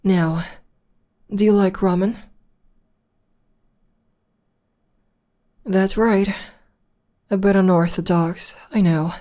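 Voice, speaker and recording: Woman acting a slow, teasing, mellow female supervillain. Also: medium pitch, fairly even intonation, close to an everyday voice. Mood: coaxing, teasing. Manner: arch, unhurried, coaxing, mock-grand. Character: teasing, theatrical female supervillain nursing her nemesis tenderly. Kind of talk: ASMR roleplay acting.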